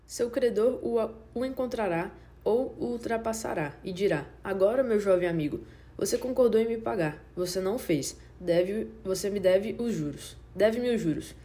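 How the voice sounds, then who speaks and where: A stern boss scolds his lazy apprentice with mocking scorn and a heavy, lecturing tone, as a woman reads him in an audiobook. The voice sounds warm and mellow, with a bit more push than the narration around it. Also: medium pitch, normal speed, lightly acted.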